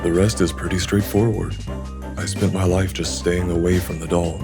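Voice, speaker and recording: A man plays a guilt-ridden, fearful loner in a visual novel drama. His low voice is measured and earnest, like a confession, with music clearly playing underneath. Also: little pitch movement. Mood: resigned, explaining. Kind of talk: visual novel English fan dub, dramatic dialogue.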